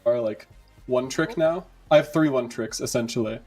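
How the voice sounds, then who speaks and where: Male pro gamer as interview guest, medium pitch, casual delivery, soft music behind. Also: moderate pace. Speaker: esports player being interviewed.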